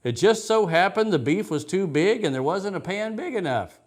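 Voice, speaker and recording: An ancient town matriarch read by a man from a rhyming children's storybook. She is amused and matter-of-fact, explaining things plainly with a lively, moving pitch. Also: normal speed. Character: laughing, matter-of-fact hundred-and-three-year-old town matriarch.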